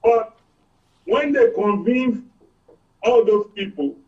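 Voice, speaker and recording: High-pitched older Nigerian man, explaining emphatically. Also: takes it slowly, distant mic, muffled recording. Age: 60s or older. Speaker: guest economist. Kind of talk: explanatory political discussion on a live broadcast.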